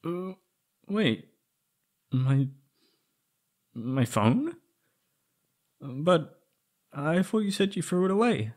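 A man's voice: a little high, sing-song, very slow, long pauses, a little mellow, heavily acted. A man playing a timid, frightened young male captive in an ASMR roleplay drama, stammering nervously and hesitating. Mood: hesitant, uneasy. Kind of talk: ASMR roleplay audio drama, intimate monologue.

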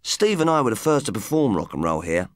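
A man's voice: on the low side, sing-song, a little fast, no echo, clean studio sound. A man voicing an earnest, wistful, washed-up rock musician in his late twenties, as audiobook dialogue. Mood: earnest, haunted.

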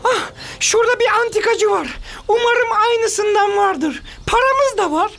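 A woman voicing a cheerful, cheeky young boy egg in a cartoon, over loud music. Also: very high voice, unhurried, vocal fry, full character voice. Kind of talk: cartoon dubbing.

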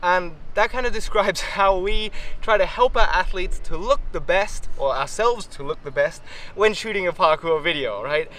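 A man's voice: high-pitched, expressive, normal speed, bright, forceful tone, slight background noise. A man in his twenties talking casually to camera on an outdoor rooftop, chatty and upbeat, laughing often as he explains his filming. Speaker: vlogger, parkour filmmaker. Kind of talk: casual vlog talk to camera.

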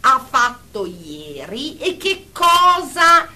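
An actress plays a female group therapist in a radio drama, firm and formal. Her voice is very high and bright. She speaks slowly and deliberately, admonishing her patients with measured authority. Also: big pitch swings, full character voice. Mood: stern, measured. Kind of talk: Italian radio drama acting.

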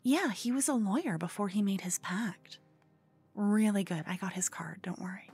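Woman acting a breathy, cutesy obsessed fangirl. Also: mid-range voice, normal speed. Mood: hopeful, flirty. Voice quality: soft, breathy, often whispery. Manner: cutesy, eager, cheekily salesy. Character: cute obsessed yandere fangirl and con woman courting a vampire. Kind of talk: ASMR roleplay, comedic one-sided dialogue.

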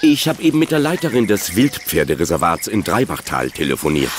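An actor playing a kindly, gently teasing father of a teenager in a German children's audio drama. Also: low-pitched, expressive, brisk. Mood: friendly.

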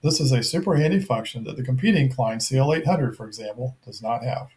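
Middle aged guy reading out a tech review, mid pitched voice, measured and matter of fact. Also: expressive, darkish tone. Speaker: product reviewer. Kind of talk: scripted narration of a technical review.

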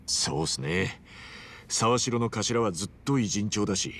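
An actor playing a rough, earnest, big-hearted hero in his forties, speaking bluntly in casual street Japanese in a video game cutscene. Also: on the low side, unhurried, fairly bright tone, a little hiss or hum. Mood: probing.

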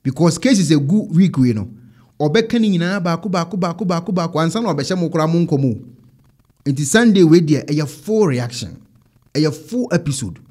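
A man's voice: a little high, expressive, a little fast, mellow, husky. A Ghanaian man in his thirties hosting a casual online reaction show, speaking into a close studio microphone. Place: indoor studio setup. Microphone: close desk microphone.

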